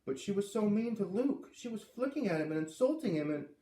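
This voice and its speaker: A man's voice: sits high, big pitch swings, fairly quick, dark tone, reverberant, recorded from a distance, voice pushed up, rough recording. A man voicing an earnest, indignant young girl from a storybook, insistent and protesting.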